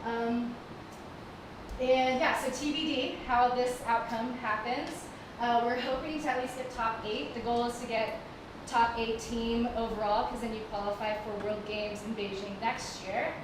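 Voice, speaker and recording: A woman gives a chatty, filler-heavy talk from a stage, her voice sitting a bit high. She sounds far from the mic in a very echoey hall. Clear background noise runs under her. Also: fairly even intonation, a little slow. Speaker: guest presenter. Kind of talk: casual live talk to an audience. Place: stage in a performing arts hall. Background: audible background noise.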